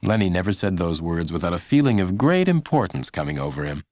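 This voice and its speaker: A man's voice: low-pitched, big pitch swings, a little fast, darkish tone, dry recording, studio quality. A man reading a children's audiobook as a scripted story narrator.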